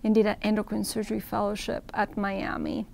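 Creaky-voiced woman from Ecuador explaining. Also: mid-range voice, monotone, fairly quick, a little mellow, a little hiss or hum. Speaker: interviewed surgeon. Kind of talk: explanatory medical interview. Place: indoor event room with banner backdrop.

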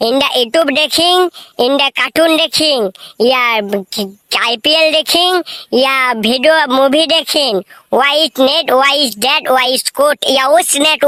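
A woman's voice: high-pitched, pushed and bright, dry recording, studio quality, heavily acted. A woman voicing a boastful, eager schoolboy in a comedy cartoon, with a rural West Bengal accent and a cocky, rambling way of talking. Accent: rural West Bengal (Bankura). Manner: cocky, rambling, garbled pidgin English. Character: boastful, eager schoolboy mangling English answers. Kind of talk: comic cartoon voice acting.

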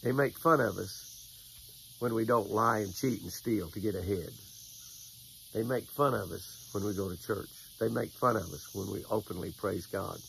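A man's voice: on the low side, normal speed, creaky, a bit bright, a little hiss or hum, rough recording. An older American man outdoors by a lake, giving a folksy devotional and fishing tip, repeating phrases for emphasis. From United States. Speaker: devotional host and fishing angler. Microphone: camera at close range.

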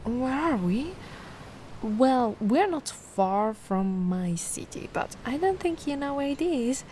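Woman acting as high-voiced, animated giant mermaid girl. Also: slow pace, slight background noise. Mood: caring. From Italy. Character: giant young female mermaid, cute, anxious, kindly. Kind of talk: ASMR roleplay.

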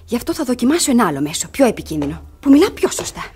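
An actress playing a cunning young ward feigning virtuous innocence, dramatic in a theatrical radio comedy. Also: female voice, a little high, sing-song, mellow, a little hiss or hum. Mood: scheming. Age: young adult.

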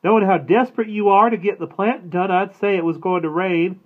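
A man reading aloud, doing a fretful, protective country mom in a storybook tale. Her voice sits well above the reader's own and comes out louder and more insistent. The sound is thin and tinny, like a phone line. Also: high-pitched, fairly even intonation, brisk, voice pushed up. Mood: teasing. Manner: anxious, pleading.

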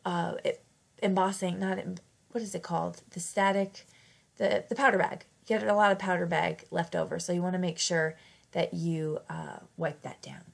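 A woman's voice: lowish voice, steady tone, normal speed, vocal fry. A woman fighting off a cold, narrating a casual craft tutorial in a chatty, self-correcting way. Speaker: craft channel host.

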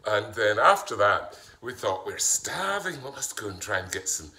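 A man's voice: fairly low pitch, sing-song, normal speed, gravelly, sharp tone, exaggerated voice. A man playing a chatty middle-aged bloke with a mixed Yorkshire and Glaswegian accent, filming a cooking video in a home kitchen and rambling off into gossip and stories. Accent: Yorkshire with Glaswegian mix. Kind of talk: comic character monologue.

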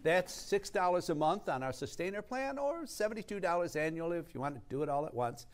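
A man's voice: high voice, big pitch swings, fairly quick. A man in his sixties co-hosting a live TV pledge show, presenting upbeat and emphatic like an announcer. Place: TV studio kitchen.

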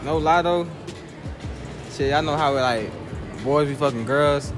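A man's voice: fairly high pitch, a little slow, music in the background. A young man answering as a passerby in casual slang, jokey and swearing often. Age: young adult. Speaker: interviewed passerby. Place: large indoor shopping mall. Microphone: interviewer's handheld phone.

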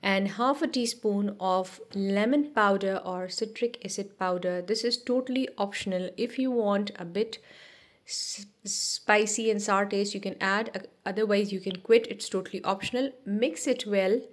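A woman narrating a cooking video as a voice-over, giving steady step-by-step instructions with small restarts. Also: medium pitch, moderate pace. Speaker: cooking channel host.

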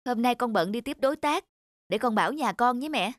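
A woman playing a busy, anxious, suspicious husband and son in a cartoon, with a brisk, fretful manner. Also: a little high, animated, dry recording, natural voice. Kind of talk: cartoon voice acting. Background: music.

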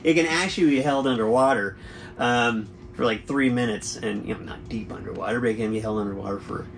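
A man's voice: mid-range voice, a little fast, creaky, slight background noise. A man in his forties hosting a boating channel, chatting through a product review from a boat cabin with an easygoing, explanatory manner and frequent fillers.

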